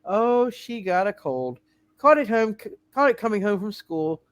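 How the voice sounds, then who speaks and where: A concerned, patient mother in a radio play, acted by a woman. Her delivery is lively and gently hesitant, recorded dry and close. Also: mid-range voice, moderate pace, no room sound, lightly acted. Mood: reassuring. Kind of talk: live comedic radio play reading.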